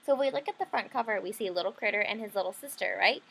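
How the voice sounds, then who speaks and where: A woman's voice: medium pitch, quick pace, gravelly, a bit bright, husky, poor audio. A woman leading an interactive read-aloud lesson for children, explaining simply and often checking in with questions. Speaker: teacher reading a picture book to children.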